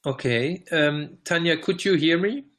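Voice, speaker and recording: A man explaining things as the presenter of an online meeting, with halting, hesitant phrasing, picked up by a computer microphone. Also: on the high side, a bit bright. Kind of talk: explanatory conference call presentation. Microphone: computer microphone on online call.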